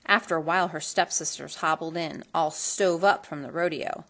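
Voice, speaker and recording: A woman reading a children's storybook aloud in an expressive, theatrical manner that leans on colorful words. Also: low voice, normal speed. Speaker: storybook reader.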